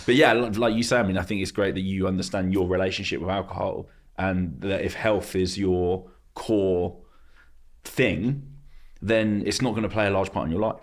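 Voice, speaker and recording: Very low-voiced male podcast host. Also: moderate pace. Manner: relaxed, laughs easily. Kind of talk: casual podcast chat.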